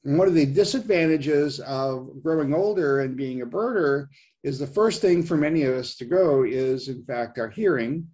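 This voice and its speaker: Older man lecturing, slightly high-pitched voice. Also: normal speed, darkish tone. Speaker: presenter, science writer giving a nature talk. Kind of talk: informal slide lecture over video call. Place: indoors at home, on a video call.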